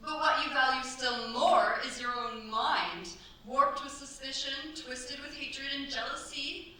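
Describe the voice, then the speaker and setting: An actress as a defiant young wife, mistress of a chateau, in a period radio play. Her voice is high and bright, imperious and theatrical. It rings through a very echoey hall. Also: fairly even intonation, a little slow. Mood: furious, imperious. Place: cultural centre stage, live performance. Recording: echoey stage, distant mic, faint noise.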